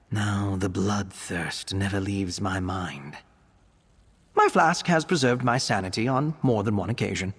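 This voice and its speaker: A man playing an earnest, bookish young male vampire alchemist in a video game, speaking in an articulate, expressive way. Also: lowish voice, slightly rough, big-room sound, exaggerated voice. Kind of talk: video game character voice acting.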